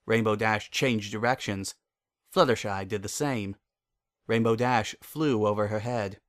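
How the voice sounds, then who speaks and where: A man's voice: lowish voice, unhurried, no echo, studio quality. A man narrating a scripted dramatic story.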